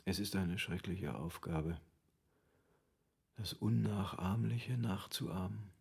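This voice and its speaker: A grief-stricken nobleman in a literary radio play. His voice is very low and creaky, with a slow, halting, anguished lament that sounds full of longing and despair. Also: darkish tone, heavily acted. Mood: resigned despair. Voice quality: gravelly, husky. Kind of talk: literary radio drama acting.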